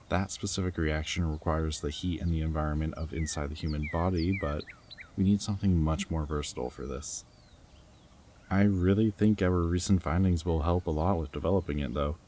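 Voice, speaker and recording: A man's voice: low-pitched, a little mellow, a little hiss or hum, lightly acted. A man playing a goofy, affectionate young rebel scientist in an intimate roleplay audio drama, talking tenderly and earnestly to his partner. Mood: upbeat, affectionate. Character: goofy, affectionate young male rebel lab scientist and anxious foster parent.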